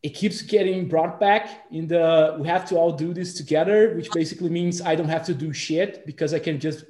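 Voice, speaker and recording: A man with a Brazilian accent speaks in a casual group discussion, stopping and restarting often and filling gaps with "uh" and "like". His voice sits a little high for a man. The room around him is echoey. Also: steady tone, a little mellow, a little hiss or hum. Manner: halting, many fillers and restarts. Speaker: discussion participant.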